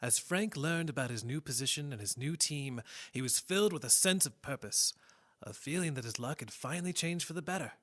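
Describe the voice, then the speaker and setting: An actor playing a solemn storyteller, narrating a radio drama in a dramatic, portentous manner. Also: male voice, a little high, animated, fairly quick, fairly bright tone, dry recording, clean studio sound. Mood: ominous.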